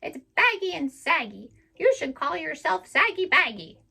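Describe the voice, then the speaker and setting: A woman voicing a saucy male parrot in a storybook, with a shrill, teasing, loud delivery. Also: big pitch swings, moderate pace, creaky, exaggerated voice. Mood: mocking.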